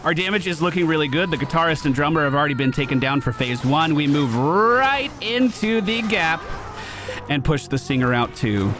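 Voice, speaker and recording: Casual male streamer, slightly high register, jokey play-by-play patter with game music clearly behind him. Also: very animated, moderate pace. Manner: casual, running self-talk, jokey. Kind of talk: live gameplay commentary.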